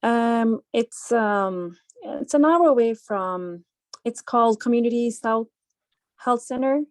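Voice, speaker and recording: A young medical graduate, a woman from New Orleans, answering interview practice questions hesitantly with many pauses. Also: fairly high pitch, no echo. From born in Pakistan, grew up in New Orleans.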